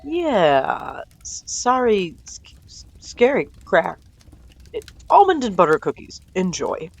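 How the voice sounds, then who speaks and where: A woman's voice: mid-range voice, very animated, takes it slowly, full character voice. A woman voicing an awkward, flustered man in an audio story, hesitant and stammering, with music behind. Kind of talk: audio story character acting.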